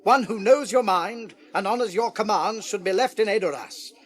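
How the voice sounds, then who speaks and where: An actor with a British accent playing a servile, wheedling, scheming royal counsellor in a fantasy radio play. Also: male voice, high voice, moderate pace, natural voice. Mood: wheedling, pleading. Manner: servile, insinuating, pleading.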